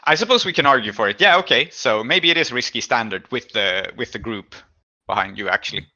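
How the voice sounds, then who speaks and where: A man acting as game master, explaining rulings and narrating a tabletop game in a measured, deliberating way. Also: medium pitch, sharp tone, dry recording, highs cut off.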